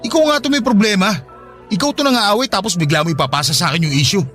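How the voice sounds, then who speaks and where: A man's voice: high voice, quick pace, pushed and bright, faint music behind. A man playing an irritable, evasive young boyfriend in a Tagalog radio drama, with a defensive, exasperated manner. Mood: defensive, irritated.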